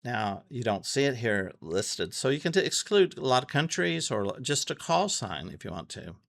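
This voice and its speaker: A man giving a casual, unscripted tutorial, thinking aloud haltingly and repeating phrases as he goes. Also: mid-range voice, sing-song, creaky, a bit bright, no room sound. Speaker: amateur radio tutorial host. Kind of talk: casual unscripted screen walkthrough.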